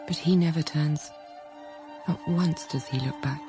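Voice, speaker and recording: An actress playing a frank, self-possessed young schoolteacher in a period radio drama, narrating quietly and thoughtfully. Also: female voice, low-pitched, steady tone, measured pace, over music. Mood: reflective. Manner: quiet, composed, literate storytelling.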